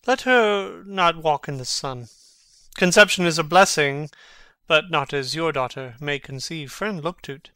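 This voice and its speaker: A man's voice: on the high side, animated, measured pace, sharp tone, clean tone, no room sound, exaggerated voice. A man playing a mocking, brooding prince who feigns madness, theatrical and sardonic in a Shakespearean dramatic reading.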